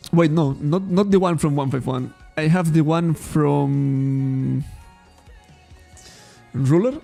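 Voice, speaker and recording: A man's voice: fairly high pitch, takes it slowly, gravelly, dark tone, soft background music. A man from Spain, a native Catalan speaker with a Catalan accent in English, chatting casually on a card shop livestream, rambling, correcting himself and laughing often. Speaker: trading card shop streamer.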